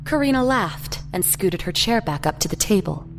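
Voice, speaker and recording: A woman's voice: mid-range voice, lively intonation, normal speed, background noise. A woman narrator reading a scripted story for an audio drama.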